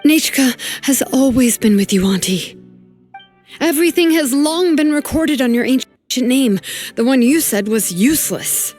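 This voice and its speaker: A resolute young woman from a fantasy video game. Her voice sits on the high side and she speaks firmly and earnestly, with a caring frankness. Music plays behind her. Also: animated, unhurried, darkish tone. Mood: solemn, reproachful. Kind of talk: video game character acting.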